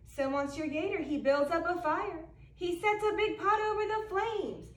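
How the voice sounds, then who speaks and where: Woman reading a bedtime picture book, very high voice, slow, echoey room. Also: far from the mic. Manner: slow expressive storytelling with Cajun-flavored phrasing. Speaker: storybook reader. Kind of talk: animated read-aloud for children.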